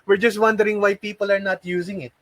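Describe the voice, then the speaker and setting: Filipino-accented man, higher voice, dry sound. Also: little pitch movement, quick pace, fairly bright tone, no room sound. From Philippines. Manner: repeats phrases and asks rhetorical questions, encouraging. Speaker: online English and call center trainer. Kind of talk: live online lesson, talking to learners.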